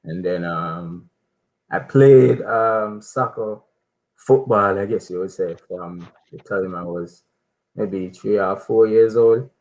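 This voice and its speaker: Young man from Saint Kitts, lowish voice, relaxed and soft-spoken, lots of ums. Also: slow, rough recording. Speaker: footballer, interview guest. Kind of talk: casual interview answers.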